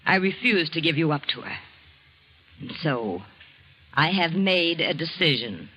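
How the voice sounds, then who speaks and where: An actress playing an anguished, accusing wife in a dramatic, imploring 1940s radio drama style. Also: female voice, low-pitched, big pitch swings, a little slow. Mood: bitter resolve. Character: anguished, accusing wife clinging to a failing marriage. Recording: noisy vintage radio drama recording.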